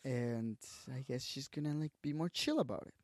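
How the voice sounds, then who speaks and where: A man's voice: medium pitch, moderate pace, no room sound, studio quality. A young man from Mexico with a Mexican Spanish accent, speaking English as a second language, telling his story candidly and emotionally as a podcast guest, swearing freely and calling the host "bro". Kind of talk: casual storytelling interview.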